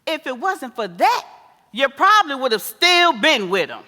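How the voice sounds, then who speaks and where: Woman playing a sassy American mama, high and animated. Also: moderate pace, exaggerated voice. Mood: scolding, exasperated. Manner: animated, folksy, preachy. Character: sassy, scolding, wise older mother advising her married daughter. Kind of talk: theatrical stage-play acting. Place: live stage in a theater. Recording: echoey theater hall with long reverb.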